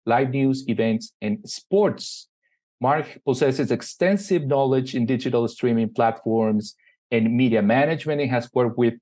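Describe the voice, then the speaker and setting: Male interview host; upbeat, reads the bio slowly, middle-pitched voice. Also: a little mellow. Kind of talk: interview hosting, reading an introduction.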